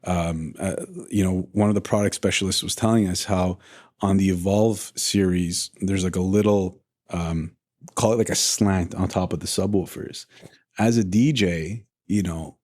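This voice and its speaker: A man's voice: deep voice, moderate pace, darkish tone. A man hosting an audio industry podcast in casual, enthusiastic chat. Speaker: podcast host.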